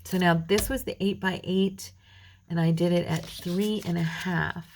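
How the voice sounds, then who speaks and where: Tired gravelly woman crafting. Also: on the low side, fairly even intonation, unhurried, slight background noise. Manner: chatty, rambling, talks to viewers while working; mutters softly to herself while working. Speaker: craft channel host. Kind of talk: casual crafting tutorial chat.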